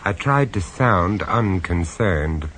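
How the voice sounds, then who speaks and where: A man narrating a radio horror story in the first person, measured and dramatic, with dry sarcasm when he speaks to the others. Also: sits low, animated, noisy background. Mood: grave. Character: grave, wry male storyteller on a castle tour.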